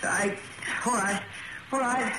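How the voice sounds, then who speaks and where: A man's voice: high voice, steady tone, unhurried. An actor playing an anxious, well-mannered society hairdresser who stammers and flusters his way through a radio drama. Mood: dazed, groggy. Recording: noisy old radio drama recording.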